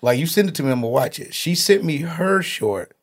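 Husky-voiced guy hosting a chill podcast, slangy, laughs a lot. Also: a little high, lively intonation, fairly quick. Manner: loose, slangy, laughs often. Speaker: podcast host. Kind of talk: casual chat.

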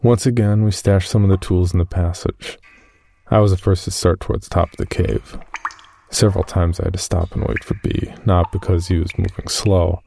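A man playing an uneasy cave explorer, recounting his expedition in measured first-person horror narration. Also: deep voice, fairly even intonation, vocal fry, warm tone, a little hiss or hum. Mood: reflective, uneasy. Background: music.

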